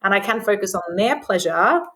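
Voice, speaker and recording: A woman speaking as a guest sex therapist on a podcast, talking frankly about sex with lots of "like" fillers and a naturally loud voice. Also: mid-range voice, lively intonation, moderate pace. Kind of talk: casual podcast conversation.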